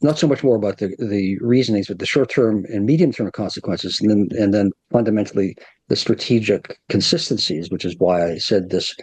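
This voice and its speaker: A man speaking as a guest analyst, measured and plain-spoken with a wry edge. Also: lowish voice, darkish tone, slightly rough, no room sound. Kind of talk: conversational analysis.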